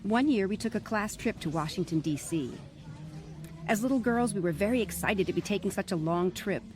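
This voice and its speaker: A woman narrating a scripted first-person memoir in a measured, reflective way. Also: medium pitch, expressive, noisy background.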